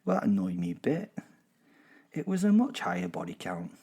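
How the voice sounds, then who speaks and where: A man playing a gleeful, boastful werewolf in a horror comedy audio drama, telling of the hunt in a chatty, digressive way with husky drops toward a whisper. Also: sits low, huge pitch swings, normal speed, dark tone, heavily acted. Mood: peeved. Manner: chatty, digressive, self-interrupting, darkly jokey. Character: gleeful, boastful male werewolf killer recounting his hunt.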